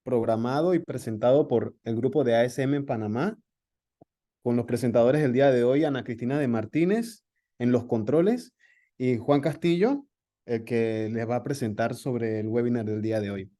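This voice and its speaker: A man's voice: medium pitch, normal speed, dark tone. A man hosting a webinar, reading a formal introduction of the guest speaker over a video call. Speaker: webinar host.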